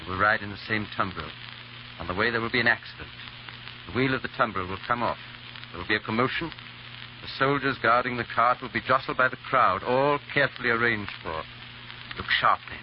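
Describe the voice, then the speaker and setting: An actor playing a calm, guarded hero who goes about in disguise, in an old radio drama on a muffled, hissy recording. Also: male voice, on the low side, lightly acted. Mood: urgent, secretive. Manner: measured, guarded, understated. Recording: muffled vintage radio recording, loud hiss.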